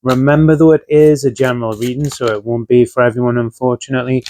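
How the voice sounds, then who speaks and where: A man's voice: medium pitch, steady tone, fast talker, darkish tone, a little hiss or hum. A man giving a casual tarot reading, talking conversationally with frequent fillers while getting over a chest infection. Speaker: tarot reader.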